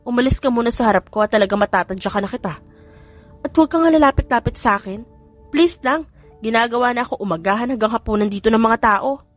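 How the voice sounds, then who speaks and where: A woman's voice: on the high side, fast, natural voice. Woman reader as a feisty young woman, music behind. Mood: irritated. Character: young hospital woman, polite to elders, feisty with suitor. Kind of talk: audiobook character dialogue.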